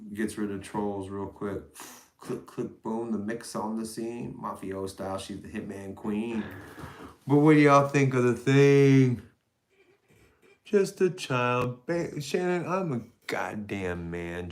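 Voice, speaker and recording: A man in his forties hosts a live stream in casual chat. His voice sits on the low side, and he rambles and jokes loosely with the people around him. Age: 40s. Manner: loose, joking, rambling talk. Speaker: stream host.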